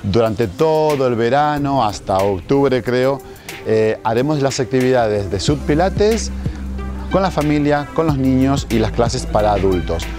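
Male fitness instructor, forties, informal presenter to camera, recorded outdoors with clear background music. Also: medium pitch, expressive, a little slow, darkish tone. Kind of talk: informal promotional talk to camera. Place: outdoors at a seaside marina. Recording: music playing behind the voice.

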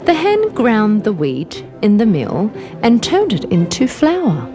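A woman's voice: medium pitch, huge pitch swings, takes it slowly. A woman narrating a scripted children's bedtime story as the storyteller. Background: background music.

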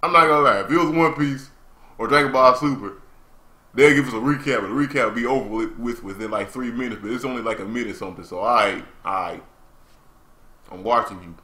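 A young man giving casual, slangy, excitable live reaction commentary on anime as the host. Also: medium pitch, brisk. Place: small room indoors. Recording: roomy, echoing home recording.

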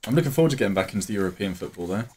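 Very deep laid-back man. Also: animated, rapid-fire, darkish tone, slightly rough. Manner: laid-back, short agreeing replies. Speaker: podcast co-host. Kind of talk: casual gaming chat. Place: small home room.

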